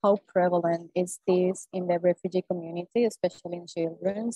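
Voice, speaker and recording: A woman's voice: on the low side, fairly even intonation, brisk, warm tone, husky, dry recording, rough recording. A woman interviewer reading prepared questions carefully and hesitantly, with many "um"s.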